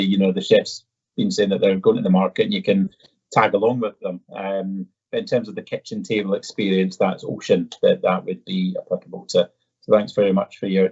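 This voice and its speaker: Low-voiced Edinburgh Scottish man. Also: darkish tone, husky. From Edinburgh, Scotland. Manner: hesitant, polite, conversational. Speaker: presenter answering viewer questions. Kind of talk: casual live question-and-answer presentation. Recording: dull, slightly muffled audio.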